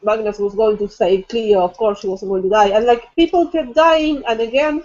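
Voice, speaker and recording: High-voiced woman chatting, dull lo-fi audio. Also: darkish tone. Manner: informal, halting, repeats words as she talks. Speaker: co-host of a book discussion livestream. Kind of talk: casual book chat.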